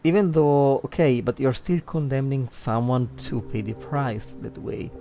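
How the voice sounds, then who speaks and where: A man's voice: mid-range voice, a little slow, vocal fry, mellow, faint music behind. A man with an Italian accent, a native Italian speaker livestreaming casual game commentary, thinking aloud and searching for words. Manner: hesitant, searching for words, reflective.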